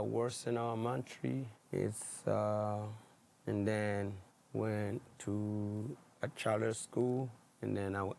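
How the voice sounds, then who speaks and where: Slow, gravelly man from DR Congo. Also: fairly low pitch, a little mellow. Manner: halting, hesitant. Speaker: podcast guest. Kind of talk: casual podcast conversation.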